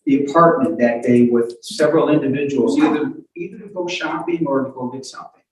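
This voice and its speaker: A man conducts a courtroom cross-examination from well away from the microphone, with heavy room echo around his voice. His pitch is average, and he presses on insistently while stumbling over and repeating his opening words. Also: brisk, darkish tone, a little hiss or hum. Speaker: lawyer questioning a witness. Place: courtroom hearing. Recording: echoey courtroom, distant microphone.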